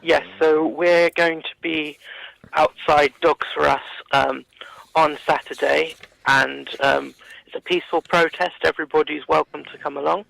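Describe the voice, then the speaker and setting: Male radio interview guest, heavy vocal fry, little pitch movement, hesitant campaigner delivery. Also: fairly even intonation, a little slow, fairly bright tone, dry recording, rough recording. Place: radio studio.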